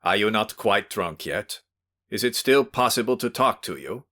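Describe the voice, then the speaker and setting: A man voicing a stern, angry gentleman who shouts orders in a storybook reading. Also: on the low side, lively intonation, normal speed. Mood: menacing. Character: angry, commanding middle-aged gentleman.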